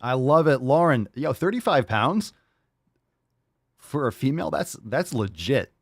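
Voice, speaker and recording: A man's voice: medium pitch, animated, measured pace, no room sound, studio quality. A man hosting a fitness podcast, reading listener posts aloud and cheering them on in casual, profane, hyped-up chat. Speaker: podcast host.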